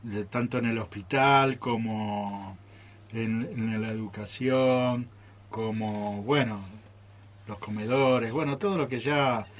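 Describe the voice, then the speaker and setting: A man's voice: lowish voice, fairly even intonation, takes it slowly. A man with an Argentine accent speaking casually in a group interview as an amateur radio theatre performer. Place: radio studio.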